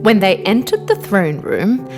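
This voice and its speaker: A woman narrating a scripted children's fairy tale in an expressive, dramatic way. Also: medium pitch, unhurried. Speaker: storyteller, narrator. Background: music.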